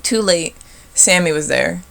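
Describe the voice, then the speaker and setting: A woman with a slightly low voice reads a picture book for children. Her delivery is expressive storybook narration. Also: measured pace, vocal fry. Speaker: storybook reader.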